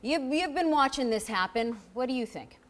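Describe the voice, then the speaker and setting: A woman's voice: high voice, expressive, moderate pace. A woman hosting a live sports panel discussion, steering the conversation between analysts. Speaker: sports show host.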